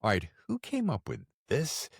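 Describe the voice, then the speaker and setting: A man hosting a podcast, chatting casually and slangily in an animated way as he interviews a guest. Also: mid-range voice, moderate pace, gravelly, husky, no echo, studio quality. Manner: animated, slangy, acts out reactions. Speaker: podcast host. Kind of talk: casual book interview chat.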